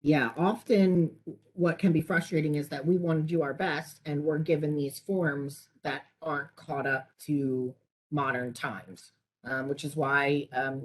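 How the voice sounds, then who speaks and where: A woman's voice: low-pitched, slight background noise, low-quality recording. A woman trainer on a conference call, explaining and answering questions informally.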